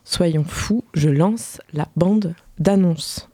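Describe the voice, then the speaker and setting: A woman's voice: lowish voice, drawn-out words, mellow, slightly rough, no room sound. A woman hosting a casual culture show on the radio, chatting informally and improvising with hesitations. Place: radio studio.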